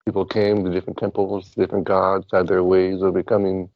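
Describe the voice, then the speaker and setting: A man's voice: low voice, monotone, brisk, dry recording, dull audio. A man talking casually and earnestly as a talk show guest, rambling as he goes. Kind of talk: casual rambling talk about past lives and spirituality.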